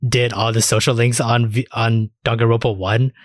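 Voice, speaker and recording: A man's voice: mid-range voice, flat delivery, normal speed, dry recording, studio quality. A man hosting a podcast in casual chat about anime and games, swearing freely as he talks. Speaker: podcast host.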